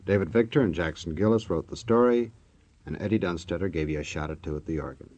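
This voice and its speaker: A man's voice: deep voice, fast talker, darkish tone. A man with an American accent announcing an old-time radio show in a jocular, folksy way, on a muffled recording. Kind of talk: 1940s-50s radio announcing.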